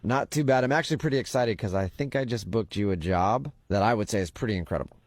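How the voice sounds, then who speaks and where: Man posing as a breezy, deadpan job recruiter. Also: on the low side, fast, dry recording. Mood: upbeat. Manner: casual, breezy, straight-faced salesman patter. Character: upbeat, pushy temp agency recruiter pitching an absurd job. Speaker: radio prank caller. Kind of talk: deadpan prank phone call.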